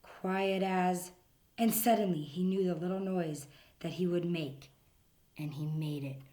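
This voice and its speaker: A woman's voice: lowish voice, unhurried, slight background noise. Woman reading a picture book aloud. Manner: gentle storytelling, drops to whispers for the quiet parts.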